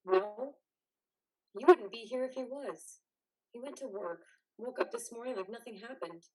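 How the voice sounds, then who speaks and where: A woman playing the exhausted, fiercely protective partner of a troubled veteran in a play reading, blunt and biting, with a husky voice. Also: fairly high pitch, normal speed, crowd noise, lightly acted, low-quality recording. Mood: weary. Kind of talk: play reading, modern drama.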